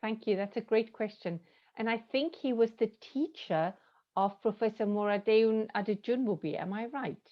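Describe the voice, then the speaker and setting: A woman's voice: fairly high pitch, normal speed, highs cut off. A woman chairing an academic panel over a video call, calling on speakers in a courteous, direct way. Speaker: session chair.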